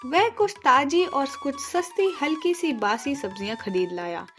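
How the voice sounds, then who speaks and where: Woman, high voice, children's story narration, slow pacing, music underneath. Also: animated, fairly bright tone. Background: background music.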